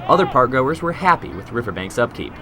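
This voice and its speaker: A man's voice: medium pitch, sing-song, a little fast, over music. A man with an American accent reading a scripted news report as a reporter and anchor.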